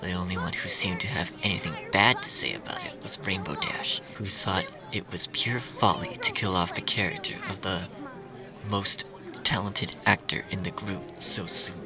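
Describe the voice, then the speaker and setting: A man's voice: low voice, normal speed. A man reading a story aloud as narrator, in a scripted audiobook style. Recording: music under the reading.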